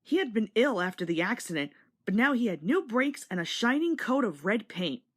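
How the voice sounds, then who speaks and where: A woman's voice: fairly high pitch, sing-song, normal speed. A woman reading a children's story aloud as a scripted read-along narrator.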